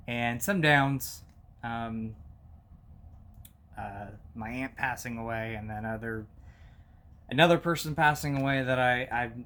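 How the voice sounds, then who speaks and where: Man in his 30s, casual vlog talk, slow unhurried pace, halting and heartfelt, mid-pitched voice. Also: a bit bright. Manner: halting, many fillers and restarts, heartfelt. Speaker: movie collector YouTuber.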